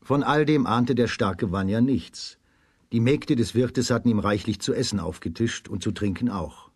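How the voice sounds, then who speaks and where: A man narrating a children's radio play as a scripted storyteller, with a husky voice. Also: lowish voice, normal speed, darkish tone, no echo, studio quality.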